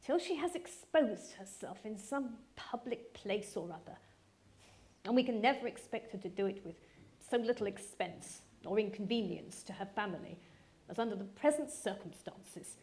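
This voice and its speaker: A woman voicing an older Regency father in a storybook reading, sardonic, deliberate and unruffled. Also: mid-range voice, animated, a little mellow, lightly acted. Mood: dry resignation.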